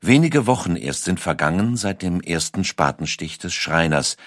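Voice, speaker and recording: Male narrator, very low register, close dry recording; measured, dramatic delivery for scripted historical storytelling. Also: lively intonation, a bit bright, no room sound.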